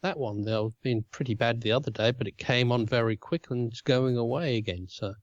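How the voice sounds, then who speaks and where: A man with a throaty voice from a cold, talking in halting bursts and restarting his phrases. The sound is dry but slightly dull and muffled, as if coming over a remote line. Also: medium pitch, no room sound. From Australia. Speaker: podcast co-host. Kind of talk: casual chat.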